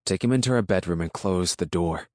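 A man's voice: low-pitched, brisk, darkish tone, dry recording, clean studio sound, close to an everyday voice. A man acting a sensible, wry country husband. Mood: guarded, casual. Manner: reasoning, dryly challenging, folksy. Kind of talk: audiobook character dialogue.

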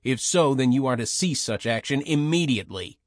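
A man's voice: mid-range voice, normal speed, no room sound, clean studio sound, close to an everyday voice. A man voicing a hot-tempered, authoritative head of military enforcers in a science fiction audiobook. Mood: stern, demanding. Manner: blunt, authoritative.

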